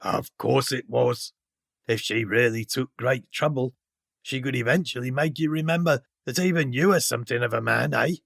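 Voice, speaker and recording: An actor playing a gruff, surly tavern keeper in an audio play, sneering at his guests in a gravelly voice. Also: medium pitch, moderate pace, fairly bright tone, dry recording, clean studio sound.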